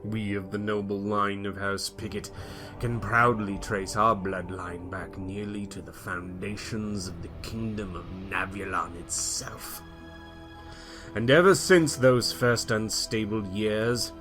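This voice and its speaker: A man reading a pompous aristocratic monologue in character, with dramatic pauses. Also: fairly low pitch. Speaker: podcast host and player. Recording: music bed behind.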